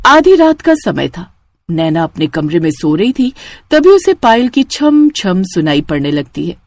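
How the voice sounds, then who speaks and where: A woman's voice: on the low side, sing-song, measured pace, darkish tone, no room sound. A woman narrating a cartoon horror story in a scripted, dramatic storytelling style.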